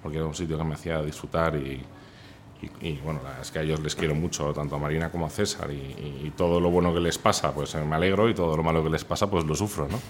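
Male interview guest, Spain accent, very low voice. Candid, chatty, colloquial delivery over light noise. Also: fairly even intonation, fast. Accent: Spanish from Spain. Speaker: interview guest, food recommendation influencer. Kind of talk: casual interview chat, anecdotes.

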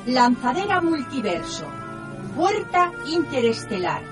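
A woman's voice: sits high, very animated, measured pace, music in the background. A woman voicing an automated lift announcer in a radio drama, calling out in a sing-song way. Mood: cheerful, automated.

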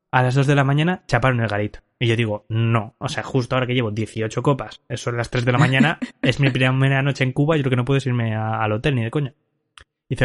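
A man hosting a casual podcast, telling a drunken travel anecdote with frequent laughs and swearing. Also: mid-range voice. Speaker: podcast host. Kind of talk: casual chat, storytelling.

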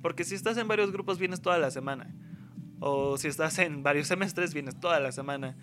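A man's voice: on the high side, brisk, over music. A young adult man with a Mexican accent, a university student talking casually in a rambling, slangy way and acting out what others said. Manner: slangy, rambling, acts out quoted speech. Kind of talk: casual conversation.

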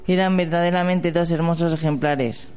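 A man's voice: a little high, fairly even intonation, normal speed, darkish tone, clean tone, faint music behind. A man narrating a legend in an amateur radio play, reading aloud steadily. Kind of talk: read storybook narration.

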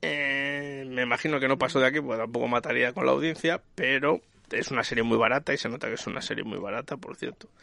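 A man's voice: mid-range voice, fast, creaky, few pauses, sharp tone, no room sound. A man giving a casual, rambling review as a conversational host. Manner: rambling, searching for details. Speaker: series reviewer and podcast host.

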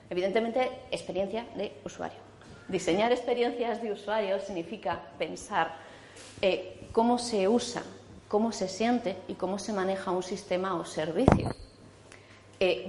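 A woman's voice: mid-range voice, darkish tone, slight background noise. Woman, 40s, Spanish accent, chatty speaker, hall echo. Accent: Spanish from Zaragoza. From Spain. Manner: chatty, many fillers and restarts. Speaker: conference speaker. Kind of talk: casual conference talk. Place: conference hall.

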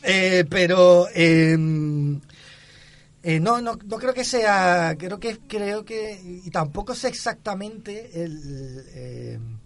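Hesitant man with a fairly high voice. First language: not Catalan. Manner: hesitant, halting and self-correcting, chuckles through anecdotes. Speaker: book club participant. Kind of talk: casual discussion.

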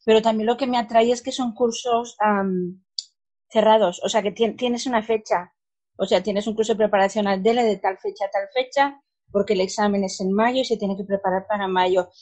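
Woman, mellow voice, explanatory, teacher. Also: mid-range voice, fairly even intonation, moderate pace. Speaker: Spanish teacher and examiner. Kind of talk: casual group discussion.

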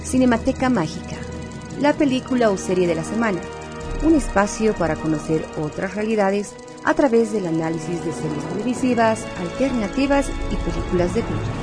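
A woman's voice: mid-range voice, animated, a little fast. A woman reading a scripted film review as a radio presenter. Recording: prominent music bed under voice. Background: music.

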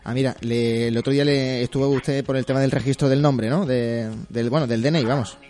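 A man's voice: mid-range voice, steady tone, a little fast, darkish tone. A man with an accent from Spain, chatting casually and teasingly as a radio morning show host doing prank calls. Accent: Spanish from Spain. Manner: teasing, colloquial. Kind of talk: prank call patter, casual radio chat. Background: music.

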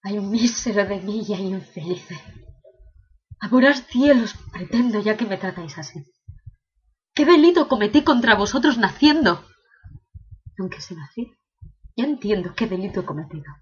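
A woman's voice: medium pitch, expressive, moderate pace, pauses often, breathy edge, highs cut off. A woman playing an anguished imprisoned prince in a declamatory, impassioned classical verse monologue, bitterly questioning heaven. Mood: anguished lament.

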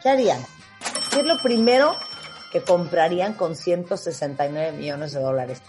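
A woman's voice: fairly low pitch, normal speed. Woman, Mexican accent, radio host, emphatic, faint music behind. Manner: lively, emphatic, reads ads. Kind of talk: radio talk show hosting.

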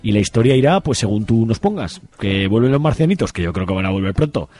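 A man's voice: on the low side, sing-song, quick pace, mellow. A man with an accent from Spain hosting a radio show, chatting casually in a joking, colloquial way. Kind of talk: casual unscripted outro chat.

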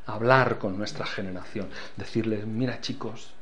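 A man's voice: on the low side, fairly even intonation, normal speed, fairly bright tone. Earnest older man with a foreign accent. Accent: foreign accent in Spanish. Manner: earnest, repeats key phrases for emphasis. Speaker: church leader. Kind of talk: interview answers.

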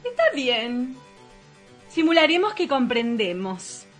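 An Argentine woman playing a snooty, melodramatic high-society madame in a comic radio play, speaking in an affected way. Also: very animated, takes it slowly, soft background music. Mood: disdainful.

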